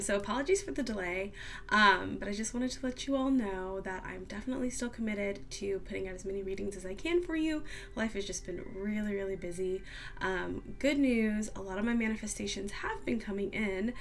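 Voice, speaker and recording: A woman reading tarot cards in a casual, upbeat monologue, a little scratchy as she gets over a cold, with many soft "um"s. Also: medium pitch. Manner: soft, upbeat, lots of "um" and "kind of". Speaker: tarot card reader.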